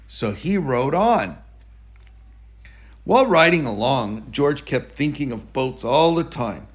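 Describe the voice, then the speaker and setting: Guy reading a kids story out loud, nice and slow, really up and down expressive voice. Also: medium pitch. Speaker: storybook reader. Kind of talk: children's story read-aloud.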